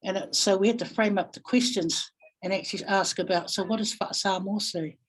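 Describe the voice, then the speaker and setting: A woman with a New Zealand accent speaking reflectively in a webinar, pausing thoughtfully and searching for her words. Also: mid-range voice, steady tone, husky, poor audio. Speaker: guest webinar speaker, family violence practitioner.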